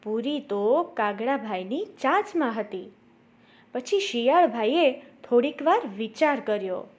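A woman's voice: on the high side, expressive, measured pace. A woman narrating a story for children as a storyteller, addressing young listeners directly.

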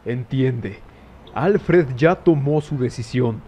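Grim foul-mouthed cartoon hero male actor: rough, husky, dark tone, contemptuous bite. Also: medium pitch, slow pace, lo-fi sound. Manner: curt, contemptuous.

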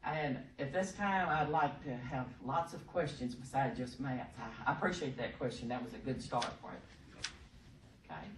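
Loud, folksy woman lecturing. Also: rumbling low, moderate pace. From Texas. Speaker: presenter at a workshop. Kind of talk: informal training talk. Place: conference room. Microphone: podium microphone, heard from across the room. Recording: echoey room, distant mic, faint hiss.

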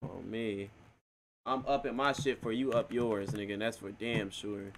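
A man's voice: fairly low pitch, fairly even intonation, normal speed, slight background noise. A man streaming a roleplay game, talking casually in profane street slang.

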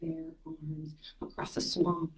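A woman in her thirties from Ukraine whose first language is Russian, reading her poems aloud in a measured, soft-spoken way at a mic stand in a small gallery room. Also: sits low, monotone. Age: 30s. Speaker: poet. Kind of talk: poetry reading. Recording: roomy room echo.

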